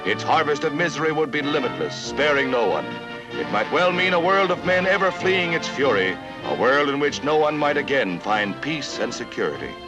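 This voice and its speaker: A man's voice: on the high side, animated, normal speed, music in the background. A man narrating a 1950s newsreel in a solemn, declamatory, dramatic manner, on a vintage soundtrack.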